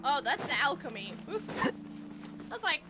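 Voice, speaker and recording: High-voiced, animated woman streamer. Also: normal speed, background noise. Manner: playful, chatty reactions. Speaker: game streamer. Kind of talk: casual live game commentary. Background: loud game music.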